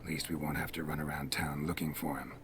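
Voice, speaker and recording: A man's voice: extremely low pitch, fast. An actor playing a stoic, terse male monster hunter in a video game. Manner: terse and flat. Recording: game audio with background noise.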